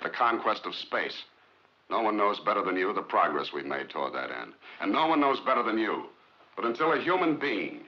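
An actor playing a brooding, determined scientist in a 1950s film drama, with clipped, earnest, sardonic delivery. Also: male voice, lowish voice. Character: brooding, determined male rocket scientist accused of murder. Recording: muffled 1950s film soundtrack.

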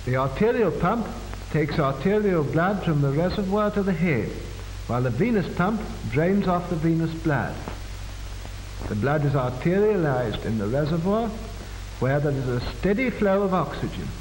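A man reading formal, measured 1940s educational film narration with precise enunciation, on an old film soundtrack. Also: on the high side, lively intonation, a little mellow, roomy sound, big-room sound, slight background noise, rough recording.

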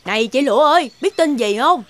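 An actress playing a gossipy, excitable village neighbour in an animated drama, eager to share news. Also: female voice, high voice, a little fast. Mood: eager gossip. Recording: loud music behind the voice.

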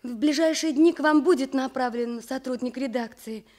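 An actress in a Soviet radio play voicing an earnest newspaper office worker, polite and official in manner. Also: female voice, high-pitched, normal speed, darkish tone, natural voice. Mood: businesslike. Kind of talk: Soviet radio theatre acting.

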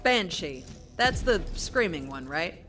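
A woman's voice: lowish voice, very animated, takes it slowly, a bit bright, soft background music, exaggerated voice. A woman voicing a brash, sarcastic young adventurer in a video game, punchy and irreverent. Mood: unimpressed. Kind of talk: scripted video game character dialogue.

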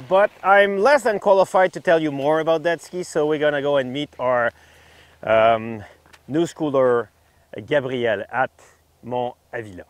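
A man's voice: a little high, unhurried, a little hiss or hum. Middle-aged man presenting outdoors. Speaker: ski test host. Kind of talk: casual product review and interview. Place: outdoors on a snowy ski slope.

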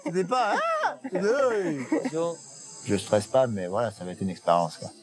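A man in a jungle outdoors, talking in slangy, excitable, joking banter as a reality show contestant. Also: mid-range voice, moderate pace, a loud crowd. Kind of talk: casual banter and confessional.

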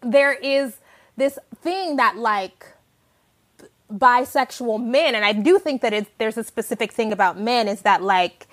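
A woman in her thirties hosting a casual home conversation, animated and quick to agree. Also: a little high. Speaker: host. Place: living room at home. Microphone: wired earbud mic.

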